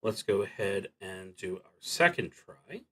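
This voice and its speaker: Bright, forceful man narrating a solo game. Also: low-pitched, sing-song, a little slow, slightly rough. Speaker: solo tabletop roleplaying streamer. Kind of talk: live play narration, thinking aloud.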